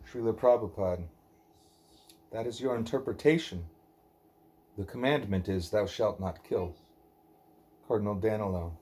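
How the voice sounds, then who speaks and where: A man reading a devotional dialogue aloud in measured, deliberate phrases. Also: lowish voice, a little mellow. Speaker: reader of a devotional book; parent reading aloud at a child's bedtime.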